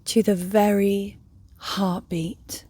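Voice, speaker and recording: A woman leading a guided meditation in a soft, breathy, near-whispered voice, gently and soothingly, with long pauses. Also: mid-range voice, level tone, dry recording. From England. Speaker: meditation guide, yoga teacher.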